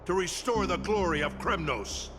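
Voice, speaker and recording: An actor playing a proud, gruff old warrior mentor in a video game, speaking in a stately, theatrical way with archaic phrasing. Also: male voice, high-pitched, lively intonation, normal speed. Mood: stern, indignant. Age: older. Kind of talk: scripted video game voice acting. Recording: long reverb, music underneath.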